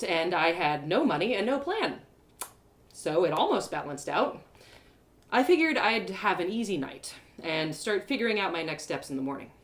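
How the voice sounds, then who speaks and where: A woman narrating a first-person audio story in a dramatic storytelling style. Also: on the low side.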